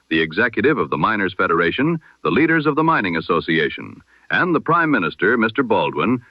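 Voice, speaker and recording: Lively formal man reading radio news. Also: on the low side, dry recording, dull audio. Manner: measured, formal newsreader delivery. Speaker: news reader. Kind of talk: scripted 1920s radio bulletin narration.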